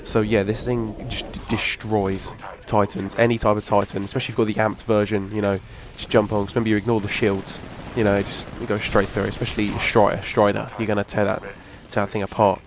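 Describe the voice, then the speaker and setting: Hoarse young man talking games. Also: on the low side, flat delivery, fairly quick, few pauses, noisy background. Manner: rambling, restarts a lot, casual swearing. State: hoarse from a cough. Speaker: gaming channel host. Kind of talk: casual gameplay commentary.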